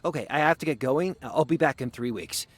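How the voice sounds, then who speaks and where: An actor playing a brisk, practical but awkward businessman in a visual novel, earnest and a little hesitant. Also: mid-range voice, slightly rough, lightly acted. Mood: hurried, awkward.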